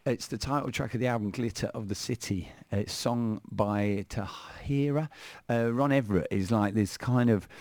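A man's voice: mid-range voice, moderate pace, few pauses, a little mellow, no room sound. A man hosting a radio show in a studio, chatting playfully and rambling through asides about music. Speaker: radio host. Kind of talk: casual radio chat. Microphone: studio microphone.

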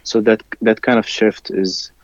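A man whose first language is Arabic, a musician interviewed in English, who talks haltingly with many fillers and restarts. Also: fairly low pitch, fairly even intonation, gravelly, no echo, lo-fi sound. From village near Haifa, raised there from first grade. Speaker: musician guest.

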